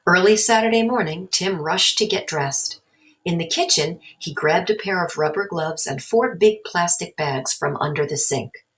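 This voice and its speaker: Lady reading a kids book out loud, voice on the low side. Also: normal speed, rough recording. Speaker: storybook narrator. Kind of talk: read-aloud children's story narration.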